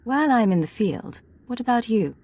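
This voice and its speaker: A woman's voice: a little high, big pitch swings, normal speed, dark tone. Cool female secret agent. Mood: cool, inquiring. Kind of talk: video game dialogue acting.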